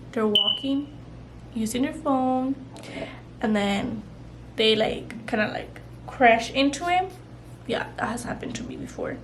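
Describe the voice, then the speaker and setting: A woman's voice: a little high, slow, pauses often, a little hiss or hum, rough recording. A young woman vlogger chatting casually while doing her makeup, rambling with lots of "like" and "you know". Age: young adult. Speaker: beauty vlogger.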